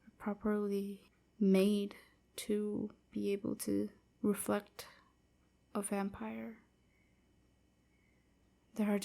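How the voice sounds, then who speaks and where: A sorrowful young vampire slave played by a woman confides in a soft, breathy near-whisper. The delivery is very slow and halting, with a dark, gentle tone and a slight crackle in the voice, suited to an intimate audio roleplay. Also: medium pitch. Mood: wry, subdued. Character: sorrowful young enslaved vampire woman recounting her past.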